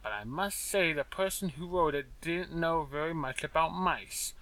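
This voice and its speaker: A man voicing a brisk, impatient little male mouse in a storybook, with a small, clear, high-pitched voice and fussy, formal diction. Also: fairly bright tone, rough recording. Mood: hurried.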